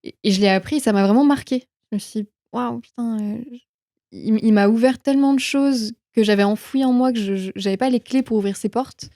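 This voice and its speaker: A young woman speaks with a mellow, soft-edged tone in a very dry, close acoustic. She is an interview guest chatting informally and reflectively about her own life, reworking her phrases as she thinks them through. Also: on the high side, a little fast, no echo. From France. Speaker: interview guest, film production manager. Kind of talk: casual conversational interview, personal anecdotes.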